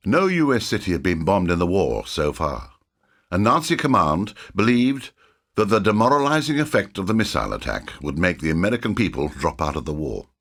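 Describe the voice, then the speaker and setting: A man's voice: low-pitched, vocal fry, a bit bright. A man narrating a war game cutscene with an emphatic, formal, scripted delivery.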